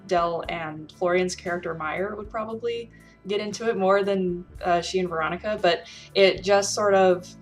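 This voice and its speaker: Lower-voiced woman, reflective, music behind. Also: moderate pace. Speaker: guest game developer and roleplayer. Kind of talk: casual spoken account of a game.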